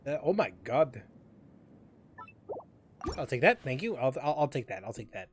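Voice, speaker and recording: A man's voice: medium pitch, very animated, normal speed, a little hiss or hum. A man streaming a video game, rambling to himself with chuckles and repeated phrases as he plays. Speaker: gaming streamer. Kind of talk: casual gameplay commentary.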